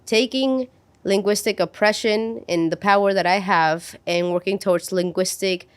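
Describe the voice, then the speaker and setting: A woman's voice: mid-range voice, moderate pace, smooth. A woman in her thirties whose first language is Spanish, talking earnestly in a casual podcast discussion, with many fillers and restarts. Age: 30s.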